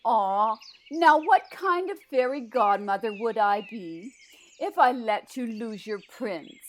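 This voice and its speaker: A slow, high-pitched fairy godmother played by a woman, sugary and doting. She is blithely cheerful and meddling, in the style of a comedic fairytale audio drama. Birds chirp behind her. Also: expressive. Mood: sweetly insistent. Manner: sweet, drawn-out, doting.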